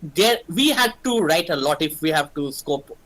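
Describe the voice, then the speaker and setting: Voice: mid-range voice, expressive, normal speed, a bit bright. A game writer talking casually and explaining ideas in a group brainstorming discussion on a livestream.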